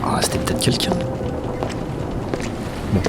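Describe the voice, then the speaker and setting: A man's voice: unhurried, over loud music, natural voice. A man playing a curious, uneasy dockworker in an audio drama, wondering aloud to himself. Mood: startled, uneasy. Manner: talks to himself, exclamatory and wondering. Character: curious, uneasy male dockworker exploring a ghost ship. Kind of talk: audio fiction acting.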